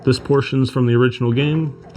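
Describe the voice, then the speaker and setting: A man's voice: mid-range voice, steady tone, a little fast, darkish tone. A man hosting a video, giving scripted, explanatory narration with music playing behind him. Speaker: video creator, host.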